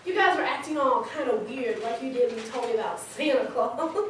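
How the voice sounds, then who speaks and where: A woman's voice: high voice, animated, fairly quick, a crowd in the background. A woman playing a candid, informal thirty-something daughter reminiscing conversationally in a staged reading. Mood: animated recollection. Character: candid, teasing thirty-three-year-old suburban daughter. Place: live staged reading in a large room. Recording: echoey, noisy hall from far off.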